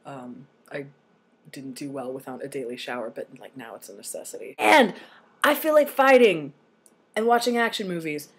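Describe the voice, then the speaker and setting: A young adult man with a voice slightly deepened early in transition, talking casually and candidly in a personal vlog, with some swearing. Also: expressive, normal speed, a bit bright, slightly rough. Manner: candid, self-deprecating, sweary. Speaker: video blogger. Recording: noisy home recording.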